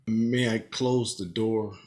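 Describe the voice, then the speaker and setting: An actor playing an earnest, put-upon police inspector with a hesitant, resigned manner. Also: male voice, fairly low pitch, fairly even intonation, takes it slowly, a little mellow, a little hiss or hum.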